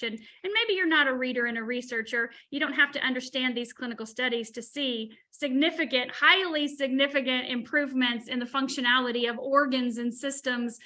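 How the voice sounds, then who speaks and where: A woman in her forties speaking on a video call, her high voice animated and effusive. Her tone is bright and crisp, and she keeps a chatty, enthusiastic flow. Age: 40s. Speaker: webinar co-host. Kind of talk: casual webinar chat.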